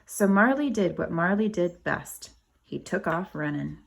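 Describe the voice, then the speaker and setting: A woman with a noticeably gravelly, creaky voice reads a picture book to kindergarteners in an unhurried way. She works like a teacher, pausing for simple explanations and speaking plainly to little listeners. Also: fairly low pitch, lively intonation. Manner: simple explanatory asides, spells out words for children. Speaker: kindergarten teacher reading aloud to young children. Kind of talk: animated picture-book read-aloud with asides.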